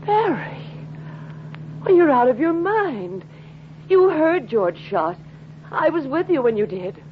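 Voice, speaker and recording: A woman's voice: high-pitched, very animated, takes it slowly, heavily acted. An actress playing a coy, hesitant female murder suspect in a vintage radio detective drama. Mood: uneasy. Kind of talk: 1950s radio drama acting. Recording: muffled, faintly noisy vintage radio recording.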